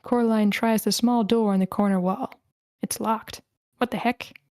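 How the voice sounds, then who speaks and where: A woman's voice: on the high side, fairly even intonation, moderate pace, darkish tone, no room sound. Woman narrating a screenplay, dry close sound. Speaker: narrator reading stage directions.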